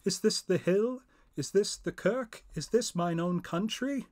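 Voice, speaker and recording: A man's voice: high voice, measured pace, a little mellow, dry recording, clean studio sound, voice pushed up. A man voicing a haunted, awestruck old-time mariner with fervent, exclamatory delivery in a dramatic poetry reading. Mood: awed, joyful.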